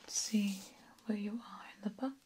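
A young woman whispering breathily in an ASMR roleplay as a genteel, sinister vampire countess, hushed and deliberate, with long pauses. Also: medium pitch, fairly even intonation, rough recording. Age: young adult. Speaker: ASMR performer. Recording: clear background noise and ambience.

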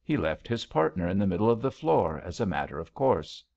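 A man's voice: sits low, sing-song, fairly quick, creaky, darkish tone. A man reading an old-fashioned love story aloud as a scripted audiobook narration. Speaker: audiobook reader. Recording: slightly dull, lo-fi audiobook recording.

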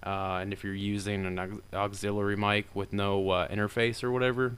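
A man with a deeper voice talking through a software tutorial in a loose, rambling way, full of fillers. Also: level tone, a little fast, clean tone. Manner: rambling and informal, with many fillers. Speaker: tutorial maker. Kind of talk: casual screen-recorded software tutorial.

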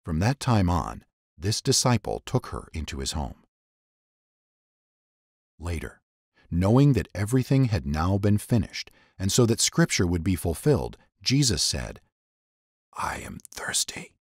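A man narrating scripture dramatically, in a solemn and deliberate storytelling manner. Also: low voice, very animated, vocal fry, darkish tone, breathy edge, no room sound, clean studio sound.

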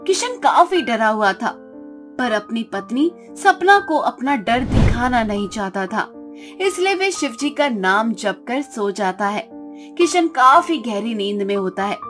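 High-pitched woman narrator, unhurried. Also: very animated, music in the background. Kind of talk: scripted story narration.

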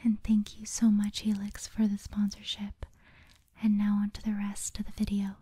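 A woman speaking in a breathy whisper as an ASMR host, in a slow, soothing, deliberate manner. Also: mid-range voice, monotone, dark tone, no echo. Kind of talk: whispered soft-spoken ASMR, guided sleep meditation.

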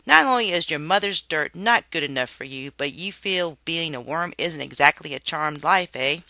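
A storybook reader voicing a proud, learned father worm in a pompous, deliberate manner. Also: mid-range voice, expressive, bright, forceful tone, no room sound. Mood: stern.

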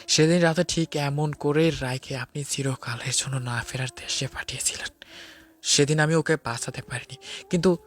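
A man playing a stern, commanding investigator with a cold, vengeful streak in an audio drama, speaking deliberately. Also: mid-range voice, fairly bright tone, natural voice. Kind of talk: dramatised audio love-crime story. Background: music.

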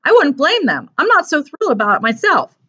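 An anxious, awkward teenage boy performed by a woman narrator, pitched up from her usual voice. He is earnest and nervous in this storybook reading, and the recording sounds a little dull. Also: very animated, a little fast, pushed and bright, heavily acted. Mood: earnest, wondering. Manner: anxious, stammering when upset.

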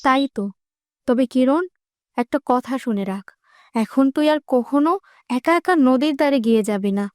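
A woman acts a protective young village girl with a very high, dry voice. She speaks emphatically and familiarly, like a bossy best friend in a cartoon. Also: normal speed, long pauses, a little mellow, no echo, natural voice. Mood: caring, firm. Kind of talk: Bengali fairy-tale cartoon dubbing.

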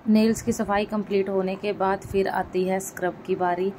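A woman's voice: medium pitch, little pitch movement, moderate pace, darkish tone, soft background music, poor audio. A woman giving a casual step-by-step vlog voice-over, explaining and rephrasing her points as she goes. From India. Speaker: vlogger.

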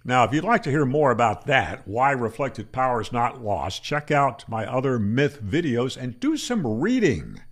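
Older man explaining radio myths, lively pitch. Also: normal speed, few pauses. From Florida. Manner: matter-of-fact, debunking tone with rhetorical questions. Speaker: ham radio educator. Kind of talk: explanatory talk to camera. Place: small indoor room. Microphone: studio microphone on boom arm.

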